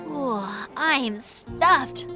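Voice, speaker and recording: Bubbly young scout in a game, high voice, easygoing, music behind. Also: female voice, animated, drawn-out words, heavily acted. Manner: casual, easygoing. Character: bubbly, friendly young female scout knight. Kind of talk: video game voice acting.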